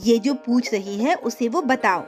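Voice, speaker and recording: A calm, firm cartoon mother, played by a woman, pitched a little high. She speaks instructively and with authority over light background music. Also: unhurried, lightly acted. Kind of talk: cartoon moral story acting.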